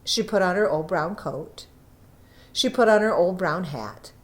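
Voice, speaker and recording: A woman reading a story aloud as scripted narration, with dramatic emphasis and drawn-out phrases. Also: lowish voice, expressive. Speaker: audiobook reader.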